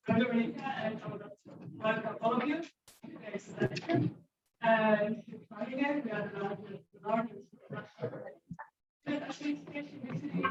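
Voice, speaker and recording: A woman host welcoming the audience and introducing the speaker, with phrasing. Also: a little high. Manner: non-native phrasing, reads welcome. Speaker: event host. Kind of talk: event introduction. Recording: distant, echoey, dull, noisy.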